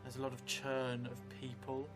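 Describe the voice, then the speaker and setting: A middle-aged man thinking aloud as he improvises a story for a solo role-playing game, halting and musing. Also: mid-range voice, completely flat delivery. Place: small indoor room at a table. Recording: music behind the voice.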